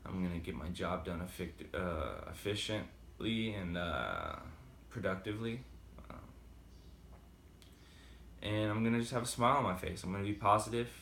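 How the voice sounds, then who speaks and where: A man's voice: sits low, animated, moderate pace, vocal fry, a bit bright, reverberant, a little hiss or hum. A man with a slight Boston accent talking casually and rambling with many fillers in a motivational vlog. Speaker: vlogger. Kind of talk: casual motivational talk to camera.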